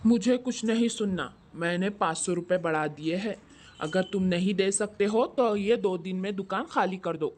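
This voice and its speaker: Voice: mid-range voice, moderate pace, a little hiss or hum, natural voice. A performer voicing a male shop landlord in a scripted Hindi moral story. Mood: stern, demanding. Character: callous male shop landlord, later humbled and grateful.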